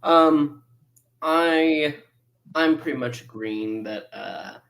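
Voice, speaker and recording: A man's voice: on the high side, takes it slowly, vocal fry, a bit bright, slight background noise. A man chatting casually as a review panelist, with a playful way of reacting. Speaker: audio drama review panelist.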